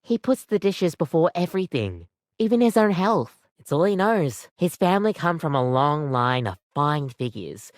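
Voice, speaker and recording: An animated video game barista, a friendly coffee machine in human form. The voice is low for a woman and swoops up and down through chatty service patter and slightly awkward asides. The recording is close and dry. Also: moderate pace, no echo. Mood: chatty, wry.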